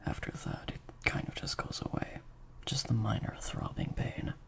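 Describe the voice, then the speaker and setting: A man playing a slightly sadistic vampire master in an improvised audio roleplay, softly taunting and praising in a breathy, creaky near-whisper. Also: lightly acted. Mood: taunting, hungry. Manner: slow, drawn-out, softly taunting.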